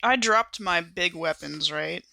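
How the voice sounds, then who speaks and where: A woman chats casually as a player in a tabletop game, working out her choices aloud. Her voice is bright and forward, recorded dry and close. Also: on the low side, slow pace, creaky, no echo. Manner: informal, thinking aloud.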